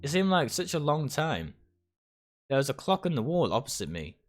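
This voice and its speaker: A man's voice: a little high, very animated, normal speed. A man reading first-person narration aloud, stumbling over words now and then and rereading phrases. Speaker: game streamer reading a visual novel aloud. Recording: music behind the voice.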